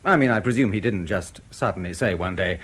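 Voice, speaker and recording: An actor in a radio drama playing a skeptical intelligence interrogator, formal, dry and probing. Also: male voice, low-pitched, brisk, fairly bright tone, slightly rough.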